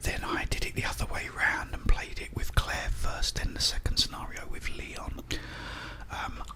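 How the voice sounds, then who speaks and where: A British man whispering an informal, rambling ASMR monologue, playfully repeating words as he goes. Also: moderate pace. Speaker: ASMR content creator.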